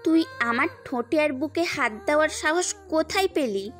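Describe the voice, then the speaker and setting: Haughty rich young woman who picks fights, high scornful voice, as a woman acts her. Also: expressive, normal speed, faint music behind.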